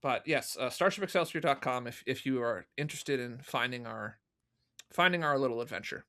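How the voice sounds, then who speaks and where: A man's voice: mid-range voice, lively intonation, a little fast, bright, forceful tone. A man speaking as an interview guest in casual chat, with a self-deprecating manner. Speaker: interview guest, fan audio drama producer.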